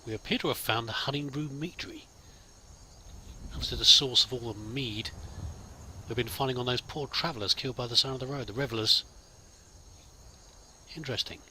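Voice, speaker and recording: A man's voice: medium pitch, a little fast, long pauses, pushed and bright, a little hiss or hum. A man giving casual, improvised roleplay commentary over a video game, musing and thinking aloud as he plays. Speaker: gamer, let's play host.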